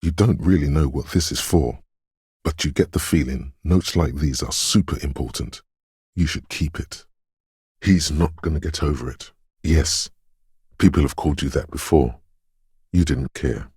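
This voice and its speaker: Mystical inner voice, extremely low, heavily husky, brooding, theatrical, intimate, video game narration. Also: male voice, moderate pace, a little mellow, no room sound, clean studio sound, full character voice. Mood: hushed, dreamy, then cryptic. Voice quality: very deep, gravelly, husky. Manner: brooding, theatrical, addressing the listener as you. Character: dreamy, mystical inner voice of intuition, ominous and intimate.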